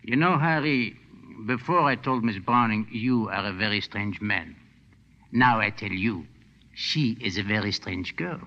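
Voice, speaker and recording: An actor playing an anxious, courteous French hotel keeper in 1940s radio drama, hesitant and pleading. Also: male voice, fairly low pitch, unhurried, bright, forceful tone. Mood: musing. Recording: dull, reverberant old radio broadcast.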